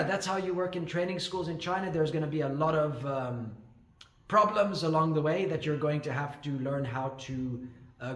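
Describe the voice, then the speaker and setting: A man's voice: on the high side, a bit bright. Echoey man giving rambling advice. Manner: rambling, with frequent fillers and restarts. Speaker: ESL teacher and channel host. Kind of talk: casual advice talk to camera.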